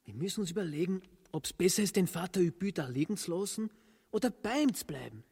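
A man's voice: high-pitched, expressive, fast, gravelly, a little mellow, slightly rough, exaggerated voice. An actor with an Austrian accent playing a blunt, irritable, scornful henchman in a grotesque comic radio drama. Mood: conspiratorial. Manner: blunt, exasperated. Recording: long hall-like reverb.

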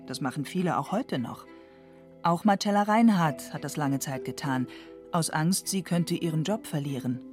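A woman reading a scripted documentary narration in a measured, even way into a studio mic. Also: sits low, soft background music.

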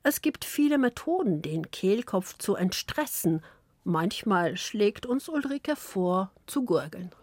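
A woman from Poland, Polish native, narrating with a Polish accent in a soft, slow, intimate read. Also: mid-range voice, expressive. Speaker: radio author and narrator. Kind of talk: scripted reflective narration.

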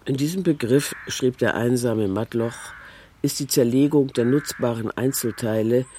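A man reading literary prose as a measured narrator. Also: mid-range voice, darkish tone, slight background noise. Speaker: reader of a literary text.

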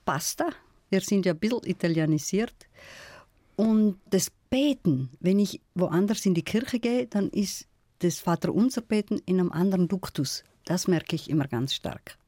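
A middle-aged woman with a South Tyrolean accent, telling stories in a thoughtful, measured radio interview. Her voice is warm and mellow. It is recorded close and dry in a studio. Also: on the low side, lively intonation, no room sound. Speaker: guest, folklorist.

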